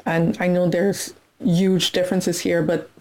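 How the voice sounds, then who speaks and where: A woman from Canada whose first language is French speaks with a French Canadian accent. Her voice sits a little low, and she lays out her thoughts in a measured, reflective manner. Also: little pitch movement, mellow, rough recording. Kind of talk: thoughtful discussion.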